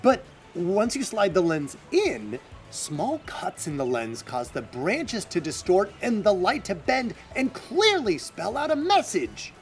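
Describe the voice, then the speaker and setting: A man playing an eager, resourceful young adventurer in an animated radio drama. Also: high voice, takes it slowly, full character voice. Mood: amazed. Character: eager, resourceful young male adventurer seeking his grandfather. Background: music.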